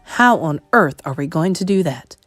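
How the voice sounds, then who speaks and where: A woman reading aloud, voicing a firm, calm elderly grandmother who speaks low and measured. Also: dry recording, faint music behind. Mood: warm, brisk.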